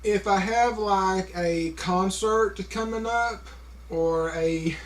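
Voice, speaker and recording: A man talking casually to a camera, with a heavy stutter, repeating sounds and stopping on words, with many fillers. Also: sits high. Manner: slow, halting, many fillers. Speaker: vlogger.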